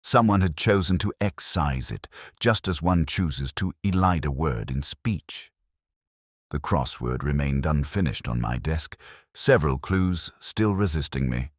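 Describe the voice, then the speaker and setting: A man with an extremely low voice narrates a literary detective tale. His delivery is measured and brooding, yet the pitch moves in lively swings. The recording is dry, with no room sound. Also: dry recording. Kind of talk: scripted first-person literary narration.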